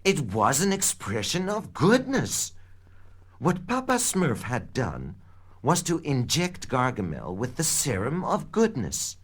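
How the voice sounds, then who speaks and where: A man narrating a scripted children's read-along story in an expressive, dramatic storytelling manner. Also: medium pitch, takes it slowly. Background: background music.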